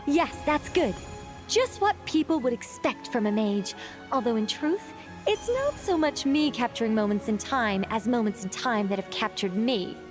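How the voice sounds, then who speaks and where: Lady doing a self-important mage girl from a game, high voice, swoops around, dramatic storyteller vibe. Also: animated, moderate pace, over music, lightly acted. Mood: pensive, self-absorbed. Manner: theatrical, musing, grandly commanding. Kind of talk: video game voice acting.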